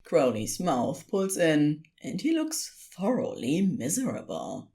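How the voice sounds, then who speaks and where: A woman reading scripted story narration in an expressive storytelling style. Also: low voice, takes it slowly.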